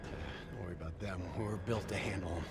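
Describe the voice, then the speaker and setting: A man's voice: low voice, steady tone, fast talker. A man playing a decisive, unflappable squad leader in a video game, curt and authoritative. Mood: firm, assured. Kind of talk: dramatic video game dialogue. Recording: clear battle ambience noise behind.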